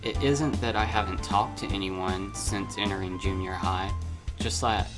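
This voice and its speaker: A man with a low voice reads out a visual novel as he plays it. His delivery is informal, slipping between narration and off-the-cuff asides. Music plays clearly behind him. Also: fairly even intonation, normal speed. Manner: informal, self-interrupting asides. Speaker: gaming video host. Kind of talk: reading a visual novel aloud with casual commentary.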